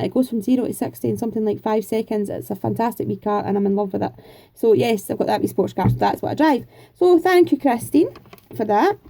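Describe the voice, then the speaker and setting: Chatty Scottish woman, very mellow tone. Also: on the high side. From Scotland. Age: 40s. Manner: chatty, rambling, homely, with frequent fillers. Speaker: craft vlogger answering viewer questions. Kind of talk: casual chat.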